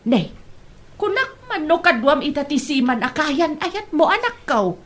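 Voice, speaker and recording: A woman playing a boastful, gossiping middle-aged mother in an Ilocano comedy drama, with an Ilocano accent and emphatic, drawn-out bragging. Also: high-pitched, very animated. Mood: fond, eager. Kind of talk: Ilocano comedy radio-style drama acting.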